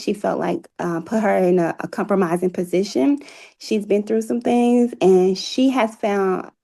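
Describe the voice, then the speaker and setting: A woman's voice: medium pitch, gravelly, warm tone. An American woman speaking as an interview guest in an earnest, encouraging, motivational way. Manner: encouraging, faith-filled. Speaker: guest, stage play cast member and organizer.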